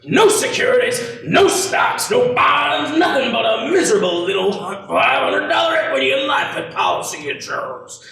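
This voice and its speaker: A man playing a scornful, miserly old banker in a radio play, sneering and bullying in a gravelly voice. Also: very high-pitched, sing-song, bright, forceful tone, exaggerated voice. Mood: scornful, gloating. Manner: sneering, drawn-out mock surprise. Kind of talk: audio-only radio play acting. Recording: echoey room, distant mic, faint noise.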